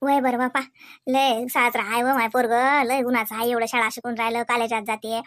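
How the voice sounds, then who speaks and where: A woman's voice: on the high side, flat delivery, a little fast, fairly bright tone, no room sound, close to an everyday voice. A woman voicing a chatty, devout, thrifty older village aunt in a comedy cartoon, rambling and coaxing in colloquial Marathi with a Varhadi accent from Vidarbha. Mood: affectionate, preaching.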